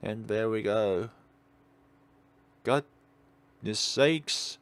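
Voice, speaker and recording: A man's voice: on the low side, drawn-out words, clean tone, dry recording. A man hosting a let's play, reading aloud and musing in a rambling, digressive way. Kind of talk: reading a visual novel aloud with rambling off-script commentary.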